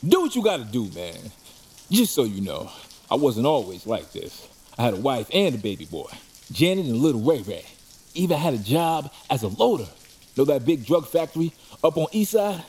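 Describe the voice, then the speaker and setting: Man playing a scrappy, animated street mugger. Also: medium pitch, moderate pace, low-quality recording. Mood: resigned. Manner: streetwise, slangy, sardonic, excitable. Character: scrappy, mouthy male street mugger and desperate addict. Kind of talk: audio drama acting. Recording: clear background noise, rain ambience.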